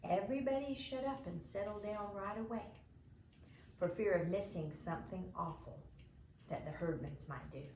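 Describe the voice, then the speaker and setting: A woman reading a children's novel aloud in an expressive, animated way, dramatizing as she narrates. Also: mid-range voice, moderate pace. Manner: animated, dramatizing the characters' lines. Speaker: storybook reader. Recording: echoey room with faint background noise.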